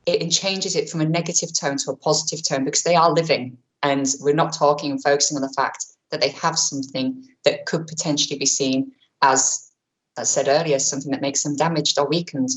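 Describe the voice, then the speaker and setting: Woman, low register, webinar presenter; brisk, chatty, self-correcting delivery on a webcam mic. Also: poor audio. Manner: quick, chatty, self-correcting, many fillers; says she has a powerful voice. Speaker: webinar presenter on vulnerable clients. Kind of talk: online training talk.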